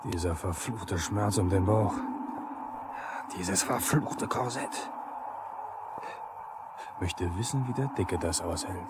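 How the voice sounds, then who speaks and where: A resentful younger lineman in a 1970s East German radio drama. He grumbles defiantly in a deep voice. The vinyl recording is clearly noisy. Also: takes it slowly, long pauses. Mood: strained. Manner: grumbling, colloquial.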